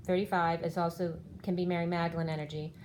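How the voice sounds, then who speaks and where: A woman's voice: fairly low pitch, little pitch movement, fast, a little mellow, slight background noise. Fifty-something woman reading tarot, fading voice. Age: 50s. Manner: rambling, explaining cards one by one. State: losing her voice. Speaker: tarot reader. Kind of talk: spiritual tarot reading monologue.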